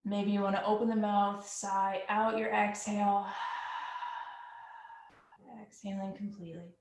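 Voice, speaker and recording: A woman leads a yoga breathing practice in an unhurried, soothing way. Her voice sits at an ordinary middle pitch. The room around her has a noticeable echo. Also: very noisy. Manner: slow, calm, soothing guidance. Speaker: yoga instructor. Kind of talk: guided yoga breathing instruction. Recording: echoey room.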